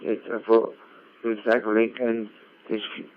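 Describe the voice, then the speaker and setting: A man, an amateur radio operator, chatting casually and haltingly over a radio link, often repeating his phrases. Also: completely flat delivery. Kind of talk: casual ham radio chat. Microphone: radio link played through a computer. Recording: dull low-fi digital radio link.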